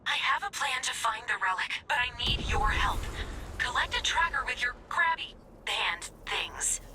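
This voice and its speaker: A woman's voice: on the high side, very animated, normal speed, distant mic, background noise. A woman voicing an intense, devoted young female robot assistant in a video game, childlike and melodramatic, turning from pleading to menacing. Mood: scheming, menacing. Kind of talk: video game character voice acting. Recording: loud music behind the voice.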